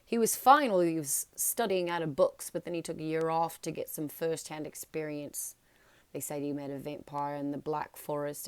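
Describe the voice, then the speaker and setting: A woman voicing a big, good-natured man in a storybook, talking in a blunt, folksy, hearty way. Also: deep voice.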